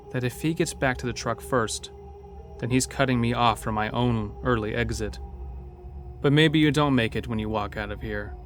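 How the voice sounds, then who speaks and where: A man's voice: medium pitch, fairly bright tone. A man narrating a scripted first-person horror story as an uneasy logging worker.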